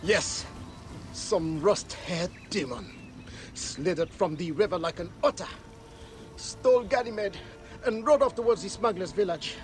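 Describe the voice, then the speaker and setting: A man's voice: sits high, unhurried, soft background music. An indignant robbed fisherman. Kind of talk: video game voice acting.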